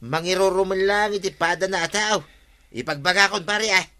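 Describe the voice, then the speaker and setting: A man's voice: high voice, moderate pace, bright, forceful tone. A man acting a gossipy, grumbling village buddy with an Ilocano accent in a radio comedy drama.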